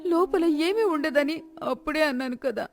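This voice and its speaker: High-pitched reproachful village wife acted by a woman. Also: moderate pace. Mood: upset, reproachful. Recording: music clearly behind the voice.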